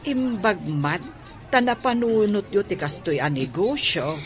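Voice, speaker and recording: A motherly older Ilocano woman in a radio drama, played by a female actor. The voice sits at a medium pitch. She comes across as inquisitive about everyone around her. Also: very animated, unhurried, a crowd in the background. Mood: curious, motherly.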